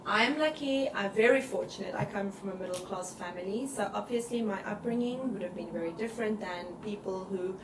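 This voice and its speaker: A South African woman chatting casually and telling personal stories in a giggly, animated way. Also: mid-range voice, fairly quick, few pauses, background noise. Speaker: co-host. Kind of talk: casual chat, personal storytelling. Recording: roomy, distant mic, music behind.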